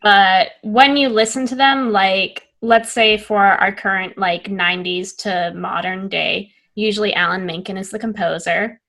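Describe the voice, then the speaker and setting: A young woman co-hosts a casual podcast and talks with friends in an unhurried way. Her voice is bright and forward. She laughs often and leans on fillers like "like" and "um.". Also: mid-range voice, steady tone, poor audio. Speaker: podcast co-host. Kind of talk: casual chat.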